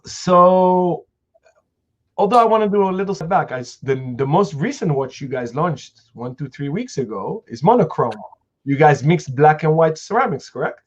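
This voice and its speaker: A man's voice: on the high side, animated, highs cut off. A man hosting a live interview, asking questions eagerly and stammering a little as he starts his sentences. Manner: quick, enthusiastic, stammers on starts. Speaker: host, interviewer.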